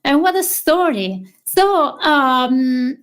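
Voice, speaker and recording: A woman's voice: high-pitched, big pitch swings, extremely slow. A woman with an Italian accent, a therapist hosting a casual conversation, affirming and thinking aloud with fillers. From Italy. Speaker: therapist, host. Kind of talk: casual interview chat.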